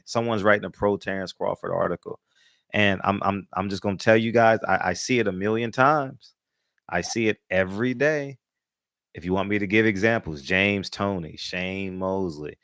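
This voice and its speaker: Laid-back lower-voiced male host. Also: dry recording. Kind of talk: casual talk show chat.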